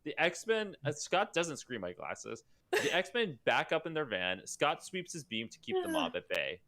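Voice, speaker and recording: Animated, giggly woman with a bright voice. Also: low-pitched, measured pace. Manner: giggly, animated, breaks into asides. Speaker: podcast co-host reading stage directions. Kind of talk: casual table read with friends.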